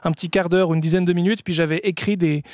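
High-voiced Swiss French comedian, self-mocking. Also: male voice, fast talker, no room sound, studio quality. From French-speaking Switzerland. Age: 30s. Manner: relaxed, self-mocking, with light laughs. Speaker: comedian guest. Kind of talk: radio interview. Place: radio studio. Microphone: studio microphone.

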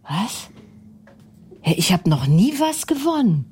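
A woman's voice: mid-range voice, very animated, slow, darkish tone, slightly rough. A woman in a comedy sketch, talking bluntly and brashly, ranting, mocking the other person and laughing often.

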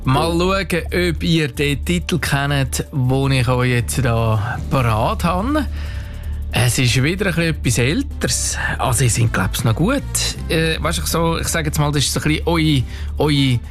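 A man's voice: on the high side, lively intonation, a little slow. A man with a Swiss German accent chattily hosting a live radio quiz game, with music behind him. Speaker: radio quiz show host.

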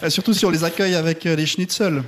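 A man playing a deadpan, sceptical cook's sidekick in a radio comedy sketch, talking casually and hesitantly. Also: on the high side, quick pace, lightly acted. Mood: reluctant, dry.

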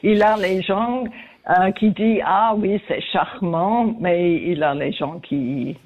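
Older accented woman, slow, on the phone. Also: lowish voice. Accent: foreign accent. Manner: slow, hesitant. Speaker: caller. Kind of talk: phone-in testimony. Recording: tinny phone line.

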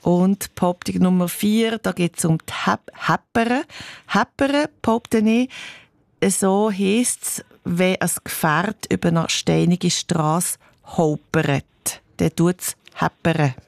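A woman's voice: lowish voice, slow pace, creaky, darkish tone, dry recording. A woman with a Swiss German accent hosting a radio quiz, chatty and upbeat as she asks her guest questions.

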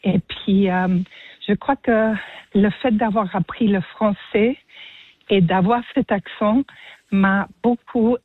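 A woman's voice: medium pitch, steady tone, slow pace, breathy edge, no room sound. A woman from Mexico and the United States, calling in to a radio show by phone while walking her dog, talking hesitantly with many fillers. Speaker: caller. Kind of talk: phone-in testimony. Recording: tinny dry phone line.

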